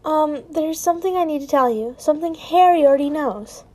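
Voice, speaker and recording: A woman reading the part of a nervous fifteen-year-old boy in a story, halting and hesitant. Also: sits high, darkish tone, close to an everyday voice. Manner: halting, full of ums.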